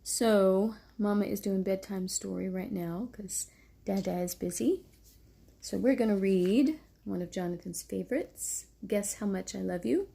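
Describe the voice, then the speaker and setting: A woman reads a bedtime story in a gentle, motherly way. Her voice is husky and mellow, with plenty of creak, and she slips into casual talk to her little one. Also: medium pitch, a little slow. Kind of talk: read-aloud children's story with casual asides to a small child.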